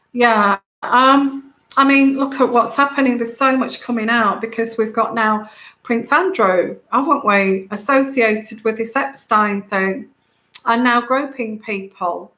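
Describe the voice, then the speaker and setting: Woman, soothing soft voice, rambling livestream host, bright tone, roomy echo. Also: fairly high pitch. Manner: rambling, many fillers, greets viewers by name. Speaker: tarot reader and channel host. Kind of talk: casual livestream chat with viewers.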